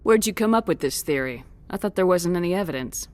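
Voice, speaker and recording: An actress playing a tough, direct human military commander in a video game, blunt and matter-of-fact. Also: female voice, on the low side, lively intonation, fast, a little mellow, lightly acted. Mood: direct, questioning. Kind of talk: scripted video game dialogue.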